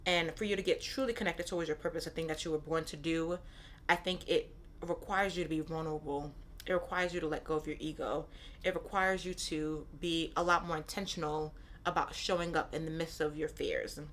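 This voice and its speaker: Solo podcast host, thirties, woman, lowish voice, frank and chatty, loops back on her phrases, slight hiss in the recording. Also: steady tone, a little fast, fairly bright tone. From born in Nigeria, raised in Brooklyn, New York. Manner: candid, repeats phrases, frequent "right?" asides. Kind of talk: casual solo podcast talk.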